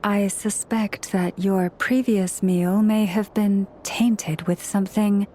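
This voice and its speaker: An actress playing a calm, dignified young priestess in a game, speaking in a formal, gracious, measured manner. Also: female voice, mid-range voice, slight background noise, natural voice. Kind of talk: game voice acting.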